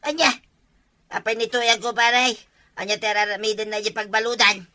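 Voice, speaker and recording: A woman with an Ilocano accent acting in a comedy sketch, pleading, whiny and loud. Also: a little high, steady tone, normal speed. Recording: clear music behind.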